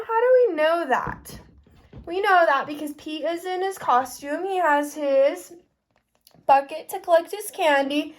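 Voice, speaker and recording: Slow, high-pitched woman teacher reading aloud. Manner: expressive, asks the listeners questions and explains. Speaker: kindergarten teacher. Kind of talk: interactive picture-book read-aloud for young children.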